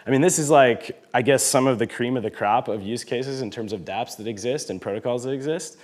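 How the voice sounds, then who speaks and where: A man in his thirties giving a casual, self-deprecating conference talk, with frequent fillers and light laughs. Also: medium pitch. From United States. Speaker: conference speaker, head of marketing.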